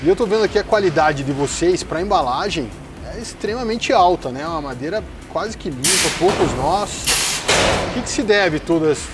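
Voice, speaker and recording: A man's voice: on the high side, animated, moderate pace, background noise. A man with a Brazilian Portuguese accent hosting an on-site tour of a sawmill, asking leading questions in an upbeat way. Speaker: host. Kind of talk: on-site company tour interview. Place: outdoors at a sawmill.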